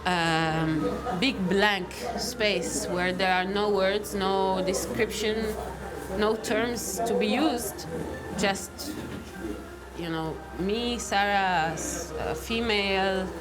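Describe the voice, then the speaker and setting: A woman from Israel, talking thoughtfully in a documentary interview, often pausing to search for words. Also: mid-range voice, steady tone, over loud music, low-quality recording. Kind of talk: reflective personal testimony.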